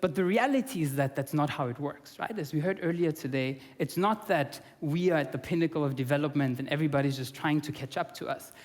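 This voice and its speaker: A man's voice: fairly high pitch, a little fast, hardly any pauses. A young man from Austria giving an earnest conference talk, with many fillers and restarts. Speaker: conference speaker. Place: conference hall. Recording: long reverb of a conference hall.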